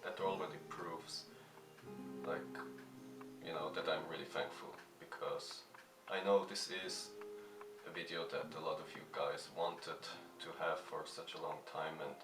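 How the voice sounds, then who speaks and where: A man with a very low, deep voice talks haltingly and shyly, stopping often on fillers. He sounds some way off the mic in a roomy space, with music playing clearly behind him. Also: little pitch movement. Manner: shy, halting, many fillers and restarts. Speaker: musician answering fan questions. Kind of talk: hesitant casual talk to camera. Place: at home. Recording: echoey room, mic at a distance, music playing.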